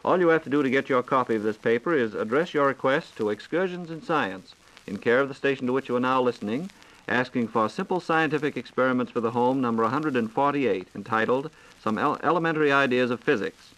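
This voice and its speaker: A man's voice: medium pitch, quick pace, fairly bright tone, low-quality recording. A man hosting a scripted 1940s radio science program, speaking in a formal, carefully enunciated announcer's way on a vintage broadcast recording. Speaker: radio host posing listener questions.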